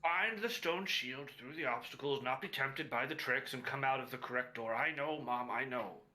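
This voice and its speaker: Man voicing an earnest young orc boy, bright and tense. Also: medium pitch, moderate pace. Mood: impatient. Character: young orc son, earnest, scared but defiant.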